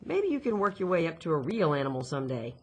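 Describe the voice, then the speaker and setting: A woman's voice: sits low, sing-song, quick pace, slightly rough, highs cut off, full character voice. A woman voicing a chatty, upbeat, teasing boy with a caring side in a storybook reading.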